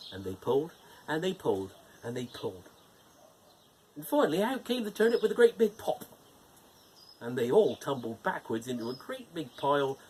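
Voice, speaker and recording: A man's voice: fairly high pitch, slight background noise. A man playing a cheerful, playful male gnome who hosts and reads a bedtime fairytale. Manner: animated, playful storytelling with teasing jokes. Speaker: storyteller host.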